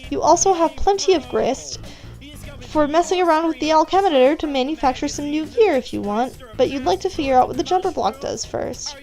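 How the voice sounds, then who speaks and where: A woman's voice: high-pitched, expressive, a little fast, a little mellow, rough recording. A woman reading scripted second-person story narration, addressing the listener as the character. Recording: music playing behind. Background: background music.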